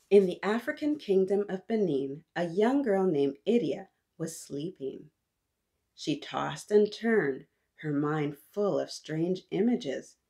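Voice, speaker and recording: Expressive, slow-paced woman reading to children. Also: fairly low pitch, breathy edge. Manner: expressive, talks to listeners as if they answer. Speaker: children's storytime host and reader. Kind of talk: animated read-aloud for young children.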